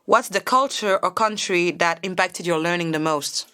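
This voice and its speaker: A woman's voice: lowish voice, moderate pace, bright, forceful tone, smooth. Woman hosting a chill podcast, chatty, jokes around, laughs easy. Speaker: podcast host. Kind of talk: casual podcast conversation.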